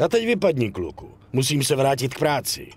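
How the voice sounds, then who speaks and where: An actor playing a bossy, brusque boss in a dubbed game, with a raspy, gravelly voice and a curt, patronizing manner. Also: male voice, mid-range voice, sing-song, a little hiss or hum, close to an everyday voice. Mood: brusque, mocking. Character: bossy, brusque male boss who hands out pay. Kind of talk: dubbed video game cutscene acting.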